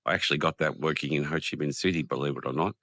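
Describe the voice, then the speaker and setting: A low-pitched Australian man, croaky with a cold, with a gravelly, bright edge to his voice. He rambles casually to the camera with plenty of ums and restarts. The sound is dry and close. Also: racing, dry recording. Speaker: vlogger. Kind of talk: casual vlog talk to camera. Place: outdoors in a quiet park.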